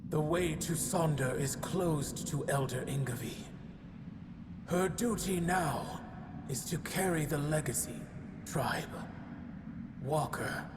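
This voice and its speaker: Aloof spirit guardian, ominous, slow, stately, whispery endings, game cutscene, long echo, loud ambient noise. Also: male voice, on the high side. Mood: solemn, resigned. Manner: stately, ominous, breathy whispering at phrase ends. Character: solemn, judging otherworldly guardian of dreams, protective and aloof. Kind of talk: dramatic video game cutscene acting.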